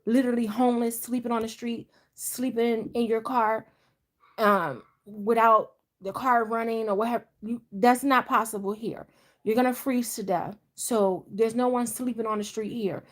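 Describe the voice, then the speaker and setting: A woman's voice: fairly high pitch, steady tone, normal speed. A middle-aged woman from Delaware chatting casually on a live stream, rambling and restarting her sentences as she talks. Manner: rambling, conversational, frequent restarts. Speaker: vlogger.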